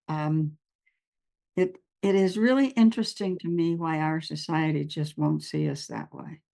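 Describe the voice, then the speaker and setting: A woman's voice: mid-range voice, a little mellow. A woman hosting a conversational podcast, welcoming her guest and asking open, engaged questions. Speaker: podcast host.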